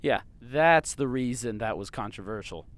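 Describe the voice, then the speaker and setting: An actor playing an irreverent, puzzled young podcast sidekick in an audio drama, talking casually and flippantly. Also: male voice, mid-range voice, lively intonation, normal speed, fairly bright tone, lightly acted.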